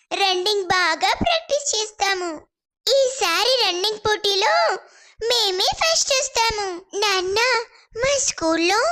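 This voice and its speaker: A woman voicing a confident, eager little schoolgirl in an animated children's moral story. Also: very high-pitched.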